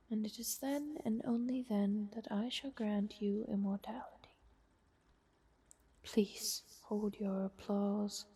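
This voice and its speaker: Woman as a slow, whispering, haughty vampire countess. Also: on the high side, exaggerated voice. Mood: formal, resigned. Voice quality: soft, breathy, whispered. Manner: formal, measured, aristocratic, wry. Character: ancient, youthful-looking vampire countess, haughty, coolly bargaining. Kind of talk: whispered fantasy roleplay ASMR.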